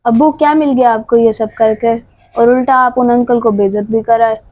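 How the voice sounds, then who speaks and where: Principled youngster, female actor, highish voice, earnest reproach, somewhat muffled lo-fi sound. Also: steady tone, moderate pace, no echo, close to an everyday voice. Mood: reproachful. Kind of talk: moral story drama acting. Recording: dull lo-fi sound, faint ambient noise.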